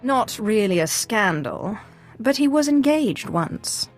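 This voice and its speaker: A woman's voice: a little high, animated, slow pace, soft background music. An actress playing a confident young female Sith in a sci-fi video game, dry and teasing. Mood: wry. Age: young adult.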